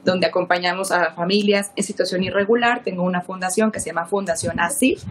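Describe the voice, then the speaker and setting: A woman's voice: on the low side, fairly even intonation, brisk, slightly rough. Emphatic Mexican woman in her thirties. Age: 30s. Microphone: video call.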